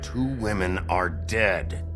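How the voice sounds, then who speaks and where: A man playing a gruff, hard-boiled wolf sheriff in a video game, with a terse, threatening manner. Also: low-pitched, takes it slowly, a bit bright, faint music behind. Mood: cold, insistent. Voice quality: gravelly.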